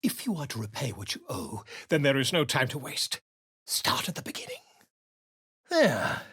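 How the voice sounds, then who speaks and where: A man's voice: medium pitch, huge pitch swings, moderate pace, bright, forceful tone, husky, no room sound, studio quality, full character voice. An actor playing a pompous, flamboyant male author and chronicler who is inquisitive and fussy, speaking in a theatrical, florid and wordy way in a video game.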